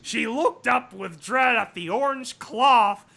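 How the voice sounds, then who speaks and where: A man putting on a raspy, strained, grating comic narrator voice to read a story in a mock-dramatic way. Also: extremely high pitch, slow pace, pushed and bright, exaggerated voice. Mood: strained, exaggerated. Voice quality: raspy, gravelly. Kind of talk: mock-dramatic reading of fan fiction.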